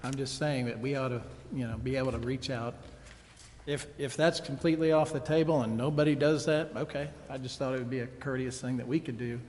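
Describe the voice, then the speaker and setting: An American man debating at a public meeting, insistent and somewhat halting. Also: mid-range voice, steady tone, darkish tone. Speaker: council meeting participant raising concerns. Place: council chambers. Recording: reverberant council chamber, faint noise.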